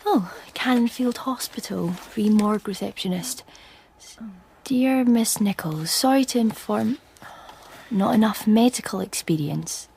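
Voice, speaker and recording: A woman's voice: medium pitch, unhurried, gravelly, darkish tone, slight background noise, rough recording. An actress playing a painfully shy, wry unemployed woman in a radio play, hesitant and self-deprecating. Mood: dejected. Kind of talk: radio play acting, dialogue and inner monologue.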